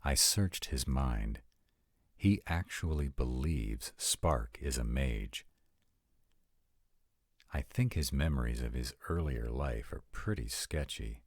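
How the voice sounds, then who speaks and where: A man playing a haughty, commanding vampire in a roleplay audio drama, speaking in a formal, measured and imperious way. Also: a bass voice, animated, dry recording, clean studio sound, full character voice. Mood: cool, probing.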